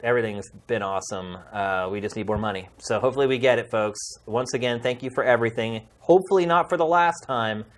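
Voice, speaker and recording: A man's voice: medium pitch, fast, hardly any pauses. A middle-aged man speaking as a podcast host, addressing viewers in a casual, heartfelt and emphatic way.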